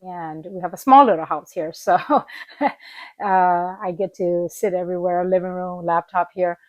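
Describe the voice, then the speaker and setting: A woman's voice: on the low side, measured pace, darkish tone, no room sound. A woman in her forties vlogging from her small living room with a laptop camera, chatting in a rambling way with fillers as her voice comes back after illness. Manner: rambling, many fillers and restarts. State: recovering voice, coughing. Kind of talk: unscripted morning vlog chat.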